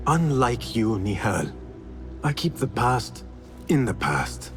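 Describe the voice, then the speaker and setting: Gravelly, brooding assassin. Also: male voice, mid-range voice, big pitch swings, slow pace. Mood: guarded. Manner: terse, grave, restrained. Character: brooding haunted male assassin, guarded and grave. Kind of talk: dramatic video game cutscene acting. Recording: music under the voice.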